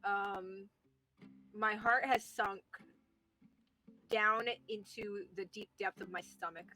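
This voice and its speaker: A woman's voice: medium pitch, steady tone, slow, pushed and bright, faint music behind, low-quality recording. A woman with a Californian accent, chatting playfully on a livestream, teasing and laughing a lot. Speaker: streamer. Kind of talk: casual chat on a livestream.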